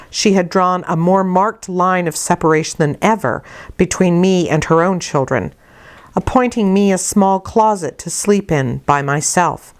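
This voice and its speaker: Low-pitched woman reading a classic novel. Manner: measured, careful reading. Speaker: audiobook narrator. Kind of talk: scripted literary narration.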